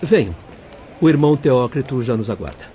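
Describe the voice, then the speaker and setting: A man's voice: mid-range voice, animated, fairly quick, dark tone, a little hiss or hum, lightly acted. A man playing an earnest, polite doctor guiding spirits in an audio drama. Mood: courteous. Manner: polite, explanatory. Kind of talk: dramatised audio-book dialogue. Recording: dull lo-fi audio, faint music. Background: faint background music.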